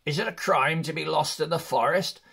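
Man voicing a higher-pitched, indignant old dwarf. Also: brisk, gravelly, bright, forceful tone, breathy edge, heavily acted. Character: surly, indignant elderly male dwarf.

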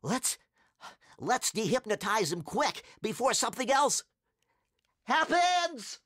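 A man voicing an excitable, wry schoolboy sidekick with an urgent, boyish manner. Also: sits high, takes it slowly, no echo, clean studio sound, voice pushed up, full character voice.

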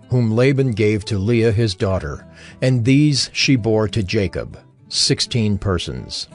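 A man with a low voice narrates scripture slowly and solemnly. His intonation moves widely, and soft music plays behind the reading. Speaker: Bible narrator.

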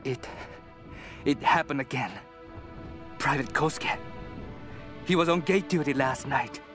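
An actor playing a frightened, superstitious wartime soldier, speaking a shaken, halting diary monologue for a video game. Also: male voice, mid-range voice, over music, close to an everyday voice.

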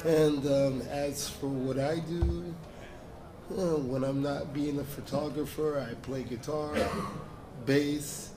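Slow, earnest man in a convention hall. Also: a little high, fairly even intonation, background noise. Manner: unhurried, earnest, chatty storytelling. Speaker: audience member. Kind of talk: spontaneous speech to a panel. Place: large convention hall with audience. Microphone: handheld mic.

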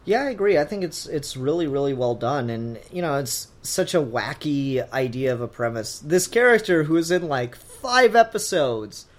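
A man's voice: fairly high pitch, expressive, normal speed, few pauses. A man co-hosting a casual review podcast, talking enthusiastically and swearing freely. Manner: enthusiastic, profane. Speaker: podcast co-host.

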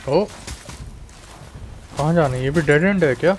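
A man's voice: a little high, racing, very noisy. A man with an Indian accent giving casual gameplay commentary as the host of a gaming video.

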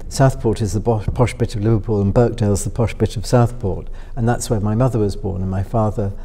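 A middle-aged man from Northwest England with a softened upper-middle-class accent, musing through interview answers with many fillers and restarts. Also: fairly low pitch, fairly even intonation, a little fast, husky. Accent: upper-middle-class Northwest English softened by London. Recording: voice with long hall reverb.

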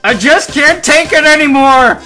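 A man voicing a furious, exasperated male construction worker in a story, yelling his lines. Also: sits high, very animated, a little fast, soft background music, pitched above the performer's own voice, exaggerated voice. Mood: distraught. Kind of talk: fan fiction audiobook character voice.

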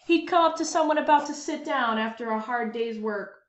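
A woman reading a children's story aloud with expressive, dramatic, emphatic phrasing. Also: fairly high pitch, normal speed. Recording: dull lo-fi, roomy echo.

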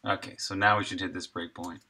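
A man's voice: low voice, little pitch movement, fast talker, bright, forceful tone, breathy edge. A man in his thirties livestreaming game development, casually thinking aloud in short, muttered thoughts as he works.

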